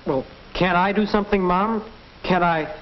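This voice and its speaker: A man's voice: sits high, moderate pace, close to an everyday voice. A young actor playing a sulky, defensive teenage boy in an old educational film. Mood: concerned. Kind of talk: mid-century educational film acting. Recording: dull, noisy old film soundtrack.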